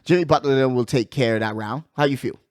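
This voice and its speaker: A man hosting a casual sports talk show, giving animated, slangy commentary and repeating phrases for emphasis. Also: medium pitch, quick pace, no echo, studio quality. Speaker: sports podcast host.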